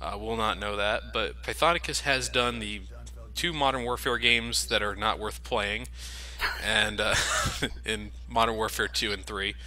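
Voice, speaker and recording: A man's voice: lowish voice, fairly even intonation, measured pace, bright, forceful tone, low-quality recording. A man commentating over a video game, joking sarcastically and swearing freely. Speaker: let's play commentator. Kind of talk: casual gameplay commentary.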